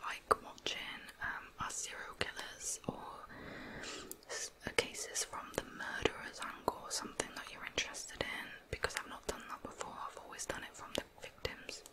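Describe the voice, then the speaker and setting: Female ASMR host needed for true crime narration, delivered entirely in a breathy whisper with an informal, explanatory touch. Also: moderate pace, pushed and bright, rough recording. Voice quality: unvoiced whisper.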